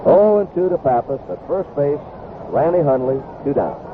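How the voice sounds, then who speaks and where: A man's voice: on the high side, sing-song, normal speed. An American man calling baseball play-by-play as a live radio announcer. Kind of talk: live radio sports commentary. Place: ballpark broadcast booth. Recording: noisy, tinny 1970s AM radio broadcast.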